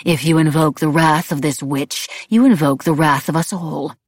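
A woman playing a cruel, imperious blood witch in an audiobook, speaking with slow, deliberate disdain. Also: sits low, steady tone, no echo. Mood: cold menace. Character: cold, cruel, commanding blood witch matriarch.

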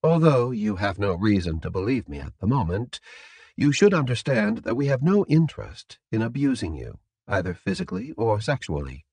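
A man voicing a calm, courteous, businesslike captor in an audiobook, speaking in a polite, measured, formal way. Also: on the low side, slightly rough, dry recording, heavily acted. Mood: politely detached. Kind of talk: audiobook character dialogue.

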